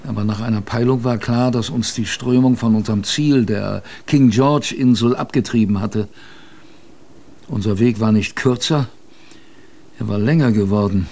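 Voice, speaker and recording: Creaky, weary sailor narrator. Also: male voice, on the low side, normal speed, a little mellow. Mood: grave, reflective. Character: weary polar expedition sailor recounting his ordeal. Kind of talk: first-person radio drama narration.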